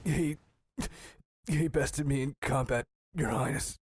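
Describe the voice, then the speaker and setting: A man's voice: medium pitch, darkish tone, slightly rough, dry recording, studio quality. Guy doing a beaten guard captain, mid voice, stammery and submissive, audio drama. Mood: cowed, shaken. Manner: halting, deferential.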